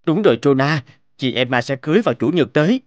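A man playing an earnest, patient young man in dubbed animated dialogue. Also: a little high, fast talker, no echo, highs cut off, close to an everyday voice. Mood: casual, upbeat. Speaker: voice actor in an animated moral tale. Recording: music behind the voice.

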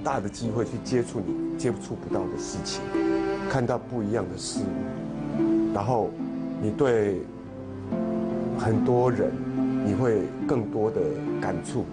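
A middle-aged man from southern Taiwan with a Taiwanese Mandarin accent, speaking reflectively in short, halting phrases. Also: on the low side. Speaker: film director. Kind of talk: reflective interview talk. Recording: loud music and crowd noise behind.

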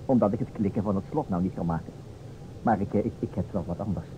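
A man's voice: deep voice, fast, lightly acted. An actor playing a playful, musing man who improvises scenes for a detective game in an old radio play, speaking hesitantly. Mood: playful, busy. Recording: dull old radio recording, noisy.

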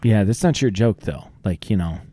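Lively forty-something man, dry close mic. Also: lowish voice, normal speed, breathy edge, no echo. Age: 40s. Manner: rambling, self-deprecating, lively. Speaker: podcast host. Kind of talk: casual chat. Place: small room with a table, seated at a mic on a stand. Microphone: studio mic on a stand.

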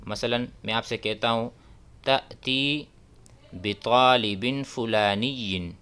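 Male language teacher, unhurried and patient, mid-pitched voice, repeats phrases. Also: bright, forceful tone, smooth. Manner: repeats Arabic phrases, then explains them in Urdu. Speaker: Arabic teacher. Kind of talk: slow explanatory lesson. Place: voice-over on a screen-recorded whiteboard.

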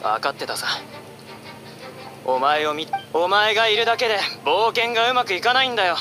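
A man's voice: high-pitched, lively intonation, fairly quick, background noise. An actor playing an exasperated, resentful young male adventurer in an anime game, complaining dramatically. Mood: annoyed. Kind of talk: Japanese anime game voice acting.

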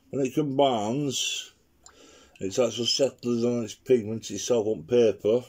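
Croaky guy with a cold, slow rambly watercolour demo, low husky voice, home video. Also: a little mellow. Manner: rambling, chatty, talks to himself while painting. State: croaky voice from an infection. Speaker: watercolour artist demonstrating. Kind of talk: casual painting demo commentary. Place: living room at home, indoors. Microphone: camera on the table, speaker off-screen.

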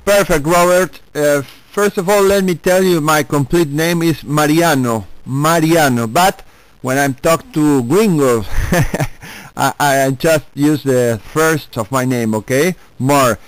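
An Argentine man talks casually on amateur radio with a Spanish accent. His delivery is slow and his tone clean and smooth. He is friendly and chatty, recorded dry. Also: a little high, no room sound, rough recording. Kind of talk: casual chat over ham radio.

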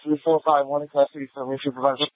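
A man's voice: medium pitch, level tone, very fast, no room sound, phone-quality sound. A man, a police officer, making clipped, urgent reports over a police radio. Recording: thin police scanner radio.

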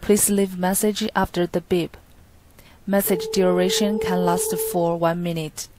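A woman reading a scripted instructional product tutorial in a flat, even delivery. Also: lowish voice, unhurried, very noisy.

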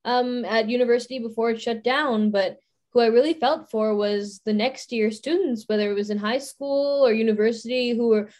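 A woman's voice: on the high side, moderate pace, a little mellow. A university student in her twenties speaking on a video call panel, thoughtful and circling back with frequent "you know" and restarts. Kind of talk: casual panel discussion.

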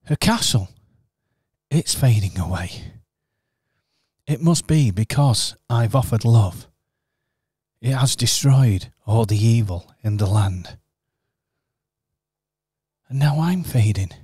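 A man acting in a local radio pantomime, earnest and expressive in his storytelling. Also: mid-range voice, takes it slowly, pauses often, breathy edge, no echo, clean studio sound. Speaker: pantomime narrator and actor.

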